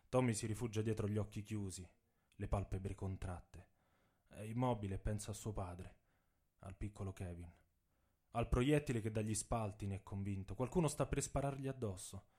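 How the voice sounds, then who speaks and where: A man narrating a radio theatre piece in a solemn, measured, dramatic storytelling style. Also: on the low side, a little mellow. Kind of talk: dramatic scripted narration with music. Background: saxophone and drum music.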